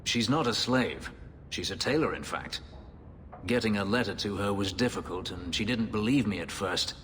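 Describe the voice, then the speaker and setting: A man's voice: lowish voice, expressive, moderate pace, fairly bright tone, in a large hall, a little hiss or hum. An actor playing a bitter, brooding male elf warrior and former slave, speaking in a grave, terse, restrained way. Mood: worried.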